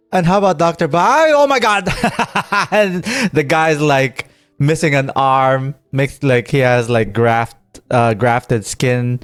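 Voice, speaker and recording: A man's voice: mid-range voice, takes it slowly, a bit bright, clear, resonant tone, dry recording. A man streaming a game with casual, reactive, jokey commentary. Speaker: gaming streamer. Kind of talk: casual let's-play commentary. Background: game music.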